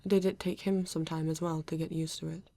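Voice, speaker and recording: A woman with a British accent playing a formal, reserved male android detective in an ASMR roleplay, speaking stiffly and deliberately with halting pauses. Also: low voice, level tone, dark tone, dry recording. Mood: guarded, halting. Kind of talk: ASMR roleplay addressed to the listener.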